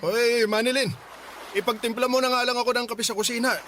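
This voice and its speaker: A sulky widower dad in a radio drama, high bright voice, faint music behind. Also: male voice, steady tone, a little fast, slight background noise. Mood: grumbling. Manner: defensive, sulky. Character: grieving widowed father, defensive and bitter. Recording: music bed underneath.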